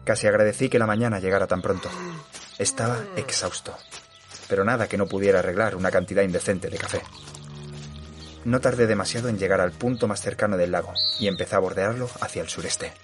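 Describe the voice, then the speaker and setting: An actor playing a weary surveyor who narrates an eerie horror tale in a measured, literary, sombre way. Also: male voice, on the low side, lightly acted. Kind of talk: dramatic first-person audio drama narration. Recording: music behind the voice.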